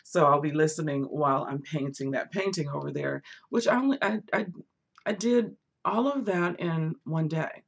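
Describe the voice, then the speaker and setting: Hoarse, gravelly woman chatting on a livestream. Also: low-pitched, normal speed. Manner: chatty, laughs often, rambling asides. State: losing her voice, hoarse. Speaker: book vlogger hosting a livestream. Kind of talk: casual live chat with viewers.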